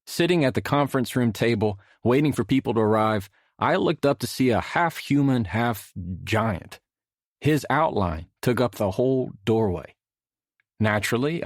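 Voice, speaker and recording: A middle-aged man reading his own nonfiction audiobook as a measured, expressive storyteller. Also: lowish voice, no room sound, clean studio sound. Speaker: author narrating own audiobook. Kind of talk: scripted audiobook narration, storytelling.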